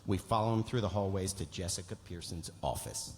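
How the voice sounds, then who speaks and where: A man's voice: deep voice, a little fast, a little mellow. A man hosting a live script read as its writer, making stage remarks and reading out directions. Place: large hall, live on stage. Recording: echoey hall, clear background noise.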